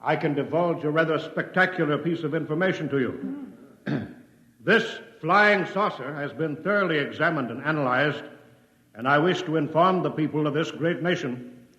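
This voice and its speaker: An actor playing a pompous, hectoring American senator, oratorical and insistent, in a 1950s radio drama. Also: male voice, a little high, pushed and bright, lo-fi sound. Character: pompous, hectoring male US senator leading an inquiry. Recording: echoing hall, old radio broadcast.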